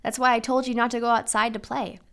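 A woman voicing a gentle, loving father in a storybook, speaking patiently and reassuringly. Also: a little high, level tone, quick pace, smooth. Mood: tender, knowing. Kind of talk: storybook character reading.

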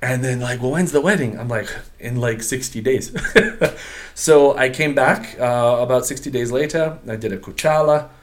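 Relaxed Portuguese-born man telling his story. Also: medium pitch, normal speed. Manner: relaxed storytelling with many fillers and restarts, slangy. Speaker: guest, business owner. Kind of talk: casual interview chat.

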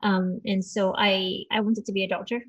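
A woman's voice: mid-range voice, flat delivery, moderate pace, a bit bright, soft background music. Woman from Malaysia, hesitant conversational delivery. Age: 40s. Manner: hesitant, often repeating words mid-thought. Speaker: podcast guest, doctor and cancer researcher. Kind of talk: reflective conversational interview.

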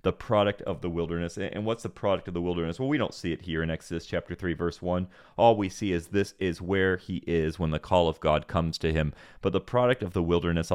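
Male Bible teacher, low voice, earnest preaching cadence that climbs for emphasis, close dry mic. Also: clear, resonant tone, no room sound. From Senegal. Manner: earnest, repeats phrases, rises for emphasis. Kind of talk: sermon-style Bible teaching.

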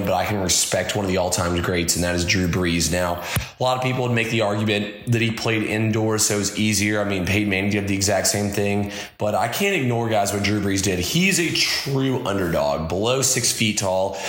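A young man hosts a sports show, his lowish voice a bit hoarse and raspy from yelling at games. He talks fast and opinionated, like a fan, calling his listeners "guys" again and again. The sound bounces around an echoey studio room. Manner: rapid opinionated fan talk, repeats "guys". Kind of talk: casual sports commentary.

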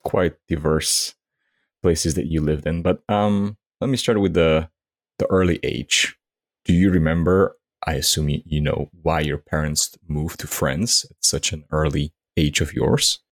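A man hosting an interview, introducing his guest and asking questions with lively emphasis. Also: rumbling low, a little slow. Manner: lively, rising intonation on key words. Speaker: interview host.

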